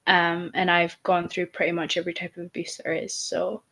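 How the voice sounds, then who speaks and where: A woman's voice: lowish voice, little pitch movement, fairly quick, gravelly, fairly bright tone. A woman co-hosting a podcast in a casual chat, hesitant, with many ums and broken-off sentences. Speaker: podcast co-host. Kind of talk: casual conversational podcast talk.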